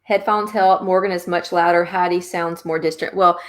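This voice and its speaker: A woman's voice: fairly low pitch, steady tone, normal speed. A woman from Louisiana with a Louisiana accent, hosting a live online author chat in an upbeat, chatty, rambling way on a podcasting microphone. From Louisiana, USA. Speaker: host.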